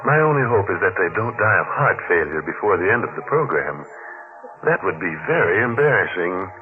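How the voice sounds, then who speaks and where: Deep-voiced male radio host, wry. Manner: mock-macabre, drily humorous. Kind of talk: wry 1940s radio mystery narration. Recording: narrow-band old radio, music behind.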